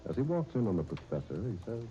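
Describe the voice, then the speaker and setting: A man's voice: lowish voice, sing-song, fast talker, warm tone. A man narrating a 1930s radio serial, reading a script to set the scene. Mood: storytelling. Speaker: announcer. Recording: muffled 1939 radio broadcast recording. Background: music.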